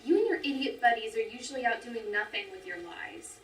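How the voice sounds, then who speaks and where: A woman's voice: on the high side, expressive, fairly quick, natural voice. A woman playing a blunt, impatient young ex-girlfriend at a script table read. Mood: frustrated. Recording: roomy, distant mic, clearly noisy.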